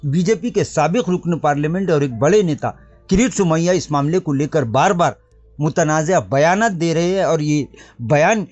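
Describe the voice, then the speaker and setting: A man's voice: on the high side, darkish tone, no room sound, dull audio. A man presenting a scripted news report in a formal register, addressing the viewers directly.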